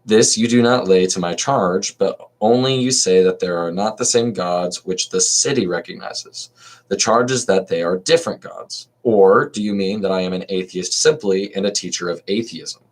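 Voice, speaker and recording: A man's voice: sits low, moderate pace, low-quality recording. A man reading as an old philosopher on trial. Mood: questioning. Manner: reads aloud evenly, with small restarts. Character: old male philosopher defending himself at trial.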